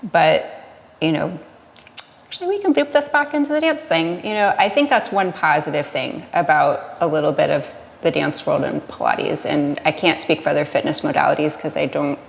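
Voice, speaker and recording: Low-pitched, creaky-voiced American woman in reverb. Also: moderate pace. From United States. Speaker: podcast guest, Pilates studio owner. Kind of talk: casual interview conversation.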